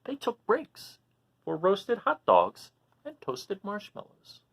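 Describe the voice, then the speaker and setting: A man hosting a children's read-aloud, expressive as he reads and chats, explaining things simply to young listeners. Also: sits high, takes it slowly, vocal fry, breathy edge. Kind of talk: animated storybook reading and casual chat to children.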